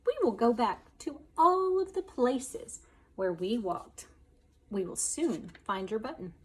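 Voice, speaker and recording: A woman's voice: on the high side, lively intonation, slow, breathy edge, heavily acted. A woman voicing an eager, helpful male frog from a storybook, calling out encouragingly. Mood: reassuring.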